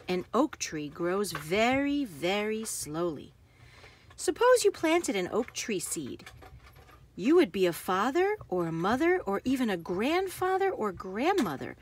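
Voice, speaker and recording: Slow, lilting woman reading to children. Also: mid-range voice, a little hiss or hum. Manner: careful, expressive phrasing for young listeners. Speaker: children's book reader. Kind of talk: read-aloud narration for young children.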